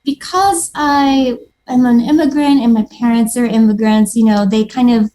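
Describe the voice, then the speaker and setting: A woman's voice: on the high side, steady tone, moderate pace, dark tone. A woman from Moldova whose first languages are Moldovan and Russian, chatting as an interview guest in an upbeat, restarting way. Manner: chatty and upbeat, with frequent restarts and little laughs. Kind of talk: casual interview.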